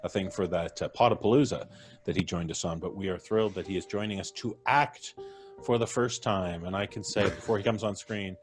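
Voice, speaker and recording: A man's voice: sits low, a little fast, soft background music. A man hosting a live-streamed show, chatting casually and jokingly as he introduces his guests. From Canada. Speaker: host. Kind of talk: casual livestream chat.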